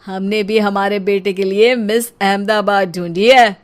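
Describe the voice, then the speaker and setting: A woman's voice: mid-range voice, steady tone, unhurried, natural voice. An actress voicing a smug, teasing middle-aged man who is a neighbor. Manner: teasing, sly.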